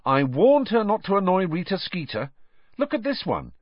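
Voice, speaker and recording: A blunt, wry teenage schoolboy wizard, voiced by a male narrator, pitched higher than a man's usual voice and plain-spoken. His lines jump about in a lively way. The sound is dry and muffled, like a lo-fi audiobook. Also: moderate pace, no echo, exaggerated voice. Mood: told-you-so. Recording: dull, dry, lo-fi audiobook recording.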